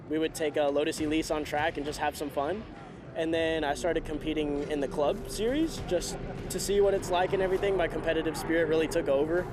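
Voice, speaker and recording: A man's voice: fairly high pitch, noisy background. A man in his twenties, a race car driver answering interview questions in an upbeat, enthusiastic way. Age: 20s. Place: outdoors in a race paddock garage. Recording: loud music bed underneath. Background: loud background music.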